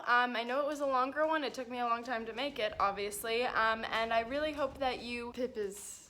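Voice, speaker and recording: A woman's voice: a little high, fairly quick, pushed and bright. A young woman vlogger chatting casually in a self-mocking, playfully dramatic way. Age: young adult. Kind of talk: casual reading vlog chat.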